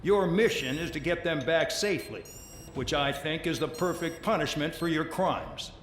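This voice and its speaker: An actor playing a contemptuous, condescending military commander who briefs convict pilots in a video game. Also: male voice, fairly high pitch, moderate pace, close to an everyday voice. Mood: stern, sardonic. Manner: condescending, sardonic, authoritarian. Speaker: mission briefing officer. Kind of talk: scripted game mission briefing. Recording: roomy echo, faint background noise.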